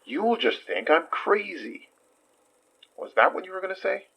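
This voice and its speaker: A man playing an earnest, persistent investigator in an audio horror drama, questioning gently with careful reassurance. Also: high-pitched, big pitch swings, normal speed, creaky, lo-fi sound, exaggerated voice. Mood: earnest, reassuring.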